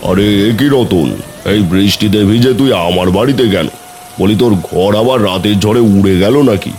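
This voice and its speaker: Man as low, patronising village headman. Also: normal speed, a little mellow, slight background noise, close to an everyday voice. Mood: surprised, condescending. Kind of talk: cartoon fairy tale acting.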